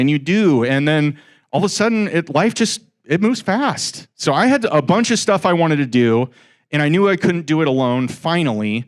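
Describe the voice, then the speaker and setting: Joking man in his forties addressing a room. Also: a little high. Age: 40s. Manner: joking, chatty, teases listeners in asides. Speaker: guest speaker at an investor meetup. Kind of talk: informal talk to a live audience. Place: room with a live audience.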